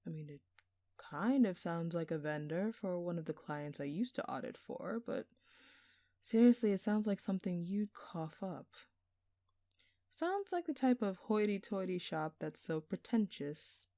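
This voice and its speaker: Woman acting as a slow, smug, flirtatious thief. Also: lowish voice, expressive, mellow, no room sound. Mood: feigned innocence. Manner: teasing, theatrical, playfully sarcastic. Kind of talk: intimate scripted audio roleplay.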